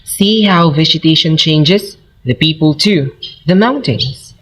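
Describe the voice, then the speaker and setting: A man's voice: on the high side, unhurried, fairly bright tone. A man playing a curious, earnest American traveller in a dramatic story reading. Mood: impressed, earnest. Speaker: student voice actor. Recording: noisy amateur recording.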